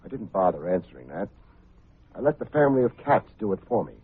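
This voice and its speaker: An actor playing a wry, hard-boiled private detective in an old-time radio drama, speaking in a clipped, sardonic way. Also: male voice, lowish voice, sing-song, quick pace, a little hiss or hum. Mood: wry narrating. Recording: muffled, phone-like old radio recording with faint music.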